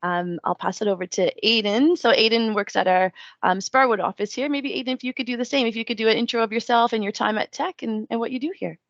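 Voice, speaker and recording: A woman's voice: a little high, fast, no room sound. A woman hosting a live webinar, friendly and loose, hesitating as she hands over to speakers, over dull web call audio. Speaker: webinar host.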